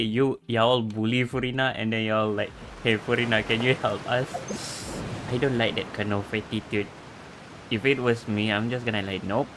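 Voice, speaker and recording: A man commentates on a game stream. He talks casually in a middle register, swearing and grumbling in slang over faint background music. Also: medium pitch.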